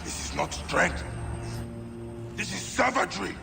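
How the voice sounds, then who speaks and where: A man's voice: high-pitched, animated, unhurried, creaky, exaggerated voice. An actor playing a stern, solemn male warrior protector of ancient Egypt in a video game. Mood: angry, condemning. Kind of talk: dramatic video game acting. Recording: music playing behind the voice.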